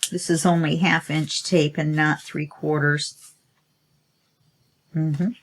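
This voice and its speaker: A woman's voice: sits low, level tone, slow, a little hiss or hum. A woman with a stuffy nose, talking to herself while she demonstrates a craft project in a casual tutorial. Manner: chatty, self-talking while working, sniffly. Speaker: crafting channel host.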